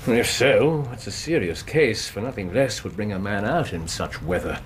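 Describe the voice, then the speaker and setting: An actor playing a brisk, dry-witted Victorian English detective in a radio drama, precise and commanding. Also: male voice, fairly low pitch, lively intonation, a little hiss or hum, close to an everyday voice. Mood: dry, unruffled. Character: Sherlock Holmes, brisk, sardonic, observant Victorian male detective.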